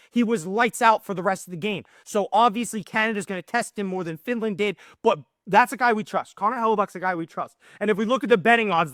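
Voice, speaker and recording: Hoarse high-voiced man hyping hockey. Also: no echo, clean studio sound. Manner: excited, emphatic. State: hoarse, losing his voice. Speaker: hockey channel host. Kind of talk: casual hockey commentary.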